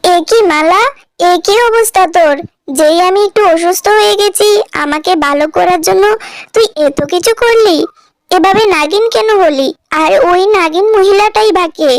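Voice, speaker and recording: A woman's voice: extremely high pitch, normal speed, dry recording. A woman voicing an anxious, loving village foster mother in a fairy-tale cartoon. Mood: alarmed, puzzled.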